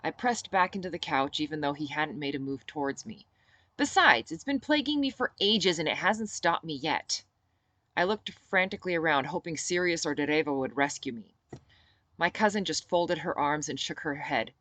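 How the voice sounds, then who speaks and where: Woman, low-pitched, author narrating, first-person novel reading, audiobook style. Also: animated, normal speed, a bit bright, no echo.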